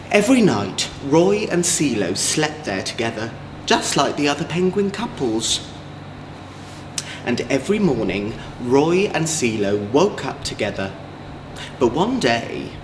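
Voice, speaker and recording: A man with an English accent, a drag queen storyteller, reading a children's story in an expressive, gentle way. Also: medium pitch. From Manchester, England. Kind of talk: children's storybook read-aloud. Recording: echoey room, clear background noise.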